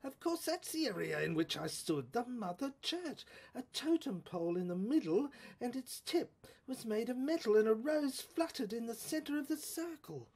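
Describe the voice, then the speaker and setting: A woman narrating a first-person audio drama in a halting, musing way, repeating words and breaking into exclamations. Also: fairly high pitch, very animated, darkish tone, slightly rough.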